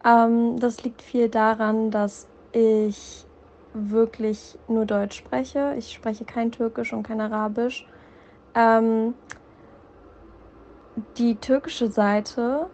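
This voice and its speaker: A woman's voice: a little high, creaky, a little mellow, slight background noise. A woman from Berlin, speaking reflectively about her family background and belonging, with frequent hesitations. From Berlin, Germany. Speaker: interviewee. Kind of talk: personal testimony.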